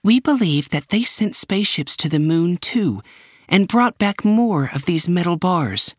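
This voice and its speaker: A man voicing a calm, matter-of-fact woman government director in a storybook reading. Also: high voice, unhurried, dry recording, studio quality, voice pushed up.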